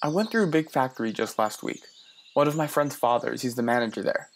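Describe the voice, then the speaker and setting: A man's voice: mid-range voice, expressive, a little hiss or hum, natural voice. An actor playing an earnest young man from the city who muses aloud and stammers a little when he is unsure. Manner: earnest, halting with small stammers. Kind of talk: radio play acting.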